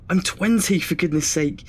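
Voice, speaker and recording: A man's voice: high-pitched, breathy edge, full character voice. An actor playing a nervous, chatty twenty-year-old male student in an audio drama, hesitant and self-deprecating.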